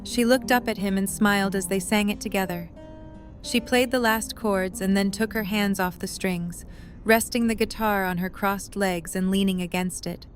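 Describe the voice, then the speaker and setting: A woman's voice: mid-range voice, fairly even intonation, moderate pace, soft background music. A woman narrator reading scripted third-person story narration for an audio drama.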